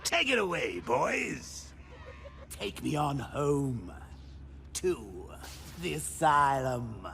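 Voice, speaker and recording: Manic clown villain from a game, gleeful n taunting, drawn out, swoops all over. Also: male voice, medium pitch, sing-song, drawn-out words, creaky, long pauses, bright, forceful tone, slight background noise, full character voice. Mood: gleeful singing.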